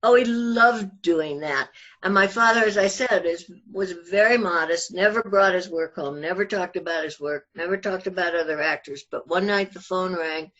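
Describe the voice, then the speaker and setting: A woman's voice: fairly low pitch, normal speed, a bit bright, highs cut off. An older woman talking casually and fondly as an interview guest, sharing memories. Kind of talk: fond reminiscing in a casual interview.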